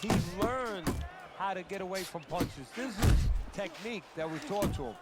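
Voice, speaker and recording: An opinionated boxing color commentator in a sports game. He lectures insistently in a high voice, stressing his points like instructions, over loud game noise. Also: animated, normal speed. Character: opinionated male boxing analyst in a video game. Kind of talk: sports analysis.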